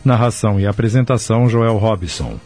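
A man's voice: lowish voice, fairly quick, darkish tone, soft background music. A man with a Brazilian accent narrating a radio drama and presenting it in a scripted style. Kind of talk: scripted narration and credits reading.

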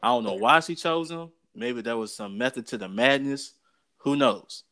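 An American man chats as a sports show co-host, with a mid-pitched voice. He is opinionated and emphatic, telling things in loose everyday speech and repeating his phrases to drive a point home. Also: animated, normal speed, bright, forceful tone. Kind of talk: casual opinionated sports and gossip chat.

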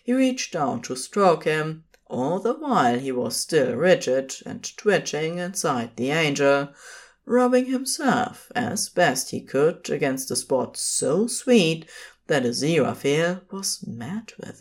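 A woman narrating scripted fiction as a storyteller, in a measured, phrase-by-phrase manner. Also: sits low, sing-song.

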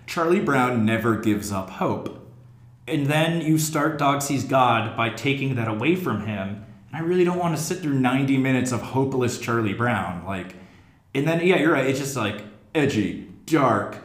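A man's voice: medium pitch, animated, normal speed. Echoey man in a casual podcast discussion. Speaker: podcast host. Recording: echoey, reverberant room.

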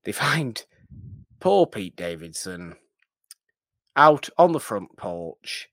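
A man with a fairly low voice co-hosts a casual film podcast. He retells things in a wry, emphatic way. The recording is close and dry. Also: sing-song, slow pace, a bit bright, no room sound. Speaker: podcast co-host.

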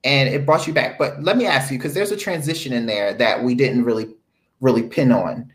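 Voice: mid-range voice, a little fast. An interview host with a fervent, preacherly cadence who asks faith questions and preaches at times.

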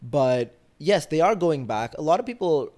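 A young man with an American accent, chatting as a podcast guest, quick and thinking aloud with restarts. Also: a little high, very animated, a little mellow. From grew up between the Bay Area and India. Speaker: podcast guest, startup engineer. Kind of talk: casual interview chat.

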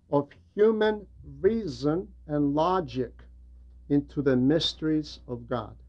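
A man's voice: on the high side, a little mellow, lo-fi sound. A man delivering an explanatory religious homily in a didactic way, repeating phrases for emphasis. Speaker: preacher and religious teacher.

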